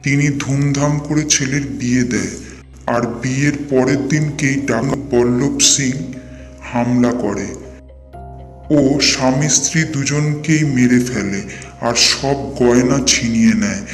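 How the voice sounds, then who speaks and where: A man voicing a knowing, protective village uncle who explains gravely and steadily in an animated horror story. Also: mid-range voice, unhurried, natural voice. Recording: echoey, long reverb, music behind.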